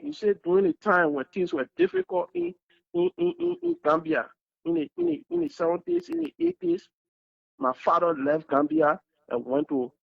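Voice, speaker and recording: A man's voice: sits high, vocal fry, dry recording. A man with a Liberian English accent calling in to a talk show, speaking earnestly and often repeating words as he searches for them. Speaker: caller. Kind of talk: emotional call-in testimony. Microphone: phone call. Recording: dull phone line.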